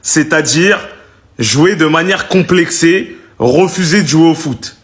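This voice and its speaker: A man's voice: on the high side, takes it slowly. A man giving frank, emphatic opinions on a football match in casual commentary.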